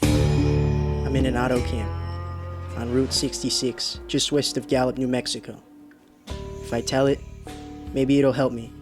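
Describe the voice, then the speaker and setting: A man acting a haunted man in his thirties who recounts his road trip in a radio drama, urgent and halting. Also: mid-range voice, over music, natural voice. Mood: haunted, desperate.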